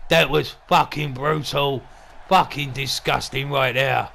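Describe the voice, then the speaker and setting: A man playing a gruff, bullying wrestling boss and commentator with a throaty growl and a crude, sweary, threatening way of talking. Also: mid-range voice, steady tone, takes it slowly, pushed and bright, exaggerated voice. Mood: excited. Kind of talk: wrestling commentary with in-character banter.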